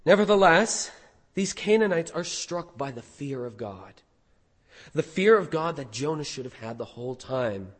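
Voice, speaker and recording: A hoarse man preaching a sermon as pastor, animated, with rhetorical questions. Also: fairly high pitch, measured pace, long reverb tail.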